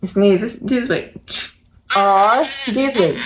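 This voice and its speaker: A woman hosting a read-aloud channel, talking to children in a slow storytime style. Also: medium pitch, lively intonation. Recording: faint background noise.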